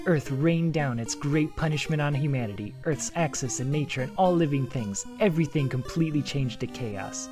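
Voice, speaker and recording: A man's voice: fairly high pitch, moderate pace, hardly any pauses, music in the background. A man hosting a video that compares game translations, reading lines of game text aloud and breaking off to comment. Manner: lively, jokey, breaks off to comment with sudden excitement.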